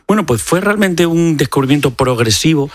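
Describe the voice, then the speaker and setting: A man's voice: a little high, lively intonation, moderate pace, dry recording. A man from Extremadura with an accent from Spain, a doctor explaining things conversationally and courteously as an interview guest. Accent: Spanish from Spain. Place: radio studio.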